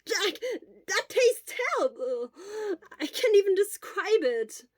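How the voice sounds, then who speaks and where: A woman voicing a loud, excitable teenage schoolboy in an audiobook, with an exclamatory, whiny, theatrical manner. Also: very high voice, slow pace, no echo, clean studio sound, pitched above the performer's own voice, heavily acted. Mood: disgusted, pleading. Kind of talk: acted audiobook dialogue.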